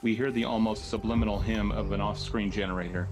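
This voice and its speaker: Low-voiced man reading a script over music. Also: steady tone, quick pace. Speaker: table read narrator. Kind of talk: scripted narration of screen directions.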